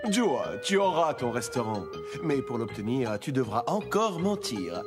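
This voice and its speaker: A man's voice: medium pitch, big pitch swings, unhurried. An actor voicing a playful, commanding male genie of the lamp in a cartoon, theatrical and sing-song. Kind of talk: cartoon acting. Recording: music under the voice.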